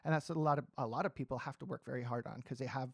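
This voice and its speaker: A man's voice: medium pitch, steady tone, rapid-fire, gravelly, no room sound, clean studio sound. A man with a standard American accent giving explanatory reaction commentary as a vocal coach, often restarting and rephrasing his sentences. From United States, raised in New Jersey.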